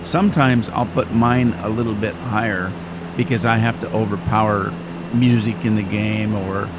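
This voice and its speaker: An older man chats through a gaming session in a slightly low voice, wandering off topic and restarting sentences. His voice has deepened and cracks a little. A steady plane engine hum sits clearly under his talk. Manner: rambling, self-deprecating, with pauses and restarts. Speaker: gaming channel host. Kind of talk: casual gameplay commentary. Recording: engine noise behind the voice. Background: flight simulator engine noise.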